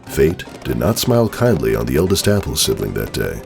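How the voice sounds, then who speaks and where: A man's voice: rumbling low, very animated, a little fast, vocal fry, music in the background. A man giving a dramatic storybook narration of a fan fiction tale.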